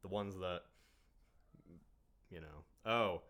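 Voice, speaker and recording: Low-voiced man drawling through podcast chat. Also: slow, a bit bright. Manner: drawling, trails off into mumbles. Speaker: podcast host.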